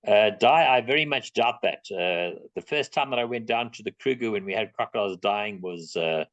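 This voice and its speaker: A man's voice: lowish voice, normal speed, fairly bright tone, dry recording, highs cut off. A middle-aged South African man answering audience questions in an online talk, plain-spoken and emphatic, repeating his key points. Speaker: guest speaker on reptiles.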